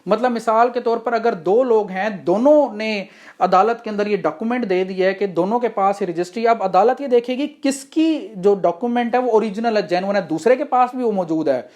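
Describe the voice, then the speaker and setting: A woman with a Pakistani accent teaches in a mid-pitched voice. She works through definitions one step at a time, switching into English for technical terms and posing questions as she explains. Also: a little fast, darkish tone. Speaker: law lecturer. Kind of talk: explanatory lecture mixing Hindi-Urdu and English.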